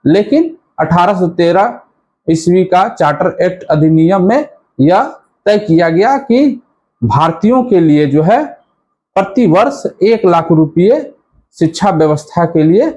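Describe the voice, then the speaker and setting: High-pitched man teaching slowly. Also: big pitch swings, darkish tone. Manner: didactic, repeats and rephrases key points. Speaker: history teacher. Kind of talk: explanatory exam-prep lesson.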